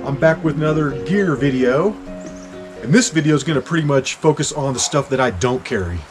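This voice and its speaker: A man's voice: a little high, brisk, over music. A man in his forties from Kansas, reviewing hiking gear in a chatty, joking way on an outdoor deck. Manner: chatty, jokey, bursts of excitement. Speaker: hiking vlogger. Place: outdoor back deck in winter.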